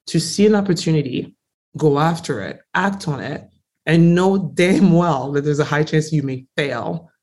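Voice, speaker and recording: Emphatic young woman from Congo. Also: deep voice. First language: French. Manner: emphatic, animated, frequently stresses words. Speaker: guest entrepreneur. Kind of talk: casual interview storytelling.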